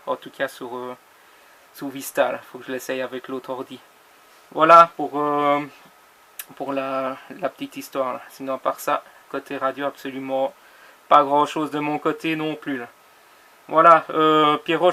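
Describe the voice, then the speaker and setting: Male radio ham, bright forceful tone, fairly even pitch, informal halting delivery. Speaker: amateur radio operator. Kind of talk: casual radio chat with callsigns and spelling.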